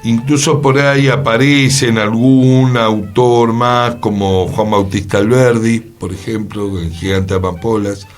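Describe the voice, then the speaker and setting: A man's voice: lowish voice, steady tone, a little slow, hardly any pauses. A man with an Argentine Buenos Aires accent presenting history conversationally on a radio talk show. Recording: music playing behind the voice.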